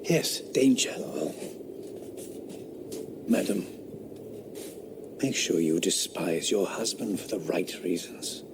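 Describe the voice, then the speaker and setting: A gravelly, scornful 18th-century philosopher. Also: male voice, low-pitched, expressive, slow pace, pauses often, noisy background, heavily acted. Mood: fearful. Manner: scornful, then fearful whispers. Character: haughty 18th-century male philosopher, sceptical. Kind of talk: radio drama acting.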